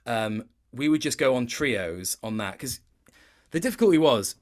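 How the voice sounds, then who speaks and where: A man in his late twenties speaks as a guest in a relaxed interview. His delivery is conversational and rambling. He often doubles back to rephrase as he tells a story. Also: mid-range voice, normal speed. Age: late 20s. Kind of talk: casual gaming interview chat.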